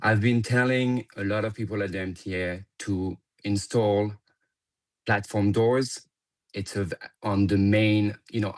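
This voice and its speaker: A man with a French accent speaks in a low voice at a public meeting. He speaks haltingly, stopping on "uh" and "you know" and restarting his sentences as he builds his points. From France. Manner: halting, with many fillers and restarts. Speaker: public commenter. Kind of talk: public comment at a board meeting.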